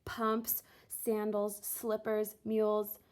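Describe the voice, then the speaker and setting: A woman reading a children's book aloud expressively, with theatrical, deliberate phrasing and drawn-out words. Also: medium pitch, monotone. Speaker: reader of a children's picture book, teacher. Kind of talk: expressive storybook read-aloud.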